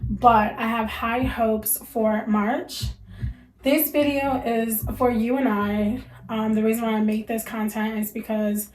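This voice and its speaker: A woman's voice: fairly high pitch, roomy sound, poor audio. A young woman, a mother, talking candidly to camera in a casual chat, sounding stuffy and congested from allergies, with lots of fillers. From United States. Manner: chatty, candid, many fillers. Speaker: vlogger, mother.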